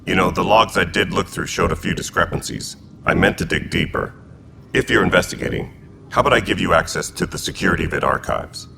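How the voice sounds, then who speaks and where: A man's voice: low-pitched, brisk, creaky, background noise. An actor playing a frank male alien militia commander from an old military family.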